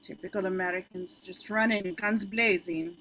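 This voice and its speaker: A woman's voice: fairly low pitch, fairly even intonation, normal speed, fairly bright tone. A woman playing a stern, proud leader of freedom fighters in a script read-through. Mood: scornful.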